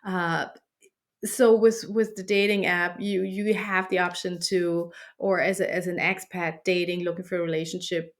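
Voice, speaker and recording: A woman with a German accent, a native German speaker, talking conversationally as an interview guest in halting, hesitant phrasing. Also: fairly low pitch, level tone. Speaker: guest, dating app founder.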